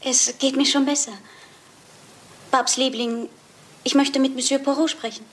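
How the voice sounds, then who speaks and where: An actress playing a distressed, guarded married lady in a German crime radio play, with a husky, breathy voice. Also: sits high, level tone, unhurried. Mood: strained, guarded.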